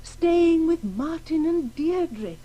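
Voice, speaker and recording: A woman's voice: very high voice, lively intonation, very slow, dark tone, a little hiss or hum, full character voice, rough recording. An actress playing an effusive, tentative middle-aged English mother, politely wheedling, in a radio drama. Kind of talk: 1980s radio play acting.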